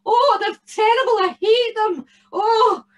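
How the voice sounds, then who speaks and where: A woman voicing a crafty old woman who wails in shrill mock horror. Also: measured pace, far from the mic. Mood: mock wailing.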